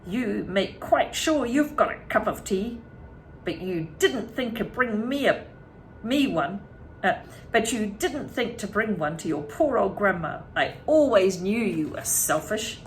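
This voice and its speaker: A woman voicing a greedy, bossy, enormous old grandmother who shouts and scolds in a storybook reading. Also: medium pitch, animated, unhurried, slight background noise, rough recording. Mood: bossy shouting. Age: older. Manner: shouting, scolding, self-pitying.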